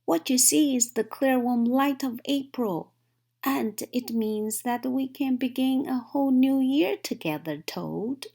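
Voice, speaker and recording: A woman's voice: high voice, a little slow, vocal fry, warm tone, pitched above the performer's own voice, full character voice. A woman voicing an eager, cheerful male frog who exclaims and urges in a children's storybook. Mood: eager, persuading. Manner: exclaiming, urging, enthusiastic. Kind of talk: children's storybook read-aloud.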